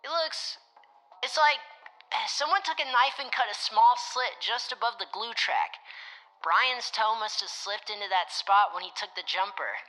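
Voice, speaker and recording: A young, analytical whiz in an audio drama. The voice is sharp and bright with a very lively pitch. The delivery is sure of itself, technical and matter-of-fact. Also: female voice, measured pace, poor audio. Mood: focused. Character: confident, analytical young tech whiz who tests evidence. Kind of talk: scripted audio drama dialogue.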